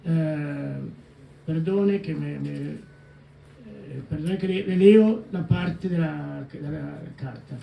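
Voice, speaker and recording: A man in his sixties speaking with an Italian accent, presenting a book he co-wrote, halting, full of fillers and restarts, slipping into Italian words. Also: a little high, vocal fry, muffled recording. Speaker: co-author guest. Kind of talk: public book presentation talk. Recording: echoey hall, mic at a distance.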